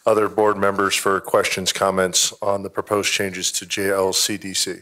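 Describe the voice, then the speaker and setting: A man's voice: low-pitched, little pitch movement, moderate pace, sharp tone, rough recording. A middle-aged man chairing a school board meeting, thanking staff and inviting the other board members to comment.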